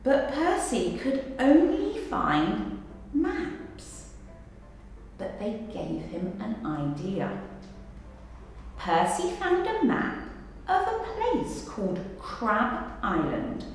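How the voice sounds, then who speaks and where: A woman reads a children's story aloud like a teacher, very slowly and expressively. Her pitch swings widely. She sounds distant in a very echoey hall with faint noise. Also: wildly swooping pitch.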